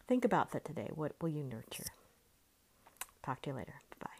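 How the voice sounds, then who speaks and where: A woman's voice: low voice, big pitch swings, brisk, vocal fry, a little hiss or hum. A woman in her late fifties speaking as a life coach, giving an informal, reflective motivational podcast monologue in a conversational way. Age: late 50s.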